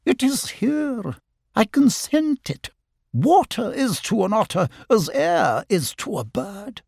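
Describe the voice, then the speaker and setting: A man putting on a prim high-voiced otter lady. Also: huge pitch swings, slow pace, no room sound, clean studio sound, heavily acted. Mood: hushed. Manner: high, fluting, affected delivery. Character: prim, haughty female otter with airs.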